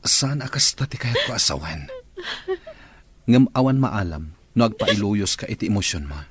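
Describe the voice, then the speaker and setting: A man acts a placating young boyfriend in Filipino radio drama. His voice is low and heavily husky, with a Filipino accent. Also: very animated, normal speed, dry recording, exaggerated voice. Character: young boyfriend, calming and reasoning.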